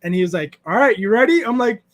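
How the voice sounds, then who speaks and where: A man's voice: sits high, animated, quick pace. A man in his thirties hosting a casual chat, joking and laughing often. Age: 30s. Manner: joking, laughs often, does quoted voices. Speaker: host.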